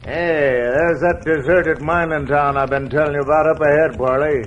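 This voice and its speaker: An actor playing a vicious, mocking outlaw killer in an old-time radio western. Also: male voice, on the high side, fairly even intonation, moderate pace, slight background noise, natural voice. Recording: muffled old radio recording.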